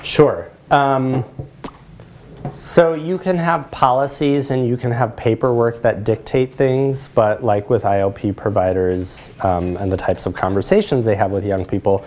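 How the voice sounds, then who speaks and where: A man's voice: mid-range voice, steady tone, a little slow, a little mellow, slight background noise. A man around forty with an American accent, explaining things as a presenter taking questions in a lecture room.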